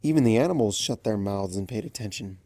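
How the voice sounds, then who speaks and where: A narrator reading a story aloud in an expressive, scripted storytelling style. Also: mid-range voice, fast, no room sound.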